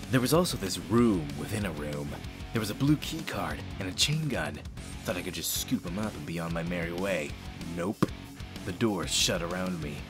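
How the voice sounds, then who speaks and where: A man playing a grim, wry space marine who narrates his battles in a sardonic, hard-boiled audio drama style. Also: low voice. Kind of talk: scripted first-person audio drama narration.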